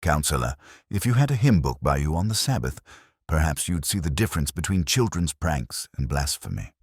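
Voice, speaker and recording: A man's voice: rumbling low, big pitch swings, brisk, husky, no echo, studio quality, full character voice. A man voicing a pious, indignant village woman with a scolding, sanctimonious manner.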